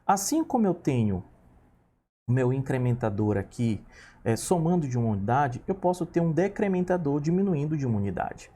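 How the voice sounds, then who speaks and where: A man's voice: mid-range voice, sing-song, a little fast, warm tone, husky. A man in his thirties teaching a programming lesson, patiently walking through each step and checking in with his students. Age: 30s. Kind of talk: screen-recorded coding lesson.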